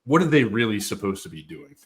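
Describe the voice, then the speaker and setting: A man's voice: lowish voice, very animated, quick pace. A man hosting a podcast, himself an Army veteran, chatting in an easygoing, chummy way and laughing readily. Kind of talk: casual conversational interview.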